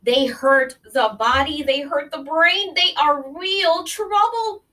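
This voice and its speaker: A woman with a fairly high voice leads a children's read-along lesson at a very slow pace. She speaks straight to her young listeners, pausing to explain and check in. The recording places her a little away from the microphone. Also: sharp tone, recorded from a distance. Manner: addresses young listeners directly with friendly check-ins like "okay?" and "right?". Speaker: teacher reading aloud to children.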